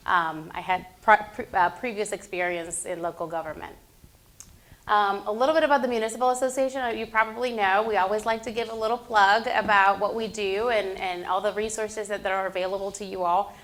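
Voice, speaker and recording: A woman's voice: mid-range voice, a bit bright. A middle-aged woman from Puerto Rico speaking informally as a meeting facilitator, in a conversational, encouraging manner. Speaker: meeting facilitator, municipal association field service manager. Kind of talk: informal introductory remarks to a council meeting.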